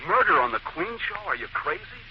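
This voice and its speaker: An actor playing an agitated, excitable announcer pleading urgently in a 1940s radio drama. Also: male voice, high voice, brisk, gravelly, heavily acted. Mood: alarmed, pleading. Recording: dull, noisy old radio broadcast.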